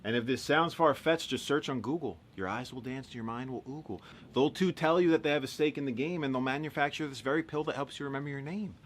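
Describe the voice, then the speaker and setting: A man performing a rhyming, satirical spoken-word monologue in a sardonic, emphatic, theatrical way with dramatic pauses. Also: medium pitch, a little hiss or hum. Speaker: monologue poet.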